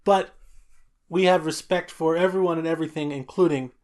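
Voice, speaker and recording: A man co-hosting a podcast. He reads a listener letter aloud, then chats casually in a loud, jolly way, often stammering and restarting. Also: on the high side, steady tone, fairly quick. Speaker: podcast co-host.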